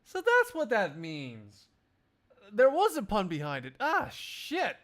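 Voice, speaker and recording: A man's voice: high-pitched, huge pitch swings, takes it slowly, fairly bright tone. A man chatting casually as he hosts a one-man live fandub stream. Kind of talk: casual livestream chat.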